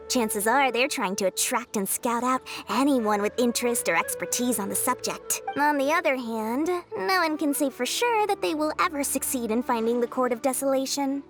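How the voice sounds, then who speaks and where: A woman voicing a shrewd, profit-minded merchant in a game, knowing and salesy as she explains at length. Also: high-pitched. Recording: game audio with faint music.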